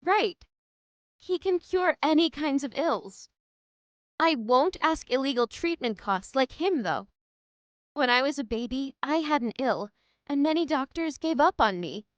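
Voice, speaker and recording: A woman's voice: sits high, expressive, a little slow, dry recording, highs cut off. A woman voicing a frugal, frank young female student in a manga dub, with a sweet, polite and matter-of-fact way of talking. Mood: earnest. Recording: music behind the voice. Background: background music.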